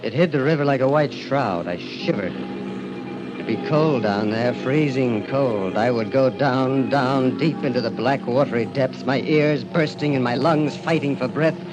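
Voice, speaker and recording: A man's voice: mid-range voice, unhurried, highs cut off, close to an everyday voice. An actor playing a despairing, world-weary man who tells his story melodramatically in an old-time radio drama. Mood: brooding, bleak. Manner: melodramatic, brooding. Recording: old radio recording with music.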